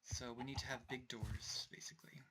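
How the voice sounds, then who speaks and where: A young man casually narrating his game as he plays, rambling and talking to himself, his voice going a little hoarse. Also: mid-range voice, flat delivery, fairly quick. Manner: rambling, self-talking, distracted asides. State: voice getting hoarse. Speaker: let's play host. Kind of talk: casual gameplay commentary.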